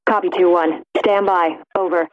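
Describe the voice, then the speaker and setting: A woman's voice: on the low side, fairly even intonation, normal speed, background noise. A woman playing a clipped, composed military command dispatcher in a video game, heard through a radio filter. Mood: composed, official. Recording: thin, phone-like radio transmission.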